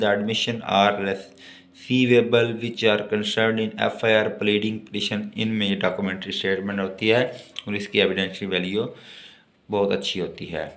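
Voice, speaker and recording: A man with a Pakistani accent giving a lecture, reading notes aloud deliberately and explaining them. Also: on the low side, a bit bright. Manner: slow, deliberate when reading English, explains in Urdu. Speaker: law lecturer.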